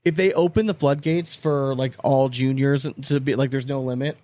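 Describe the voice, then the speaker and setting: A man's voice: mid-range voice, fairly even intonation, normal speed, darkish tone, dry recording. A man co-hosting a podcast with casual banter, talking in a blunt, profane, slangy way. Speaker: podcast co-host.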